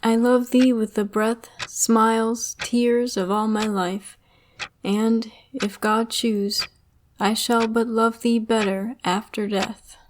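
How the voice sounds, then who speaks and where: A woman reads romantic verse as a dramatic recitation. Her voice is on the high side and her delivery is very slow. A faint noise sits under the recording. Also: flat delivery. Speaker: poetry reader. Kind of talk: slow dramatic reading of classic love poetry.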